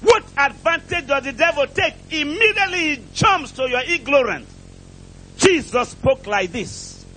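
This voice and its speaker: A male preacher with a strikingly high-pitched voice delivers an impassioned sermon. He declaims in short, repeated phrases and puts rhetorical questions to his listeners. There is faint noise and rumble behind him. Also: animated, measured pace, pushed and bright. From outside England, preaches there as a visitor. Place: church pulpit, preaching to a congregation.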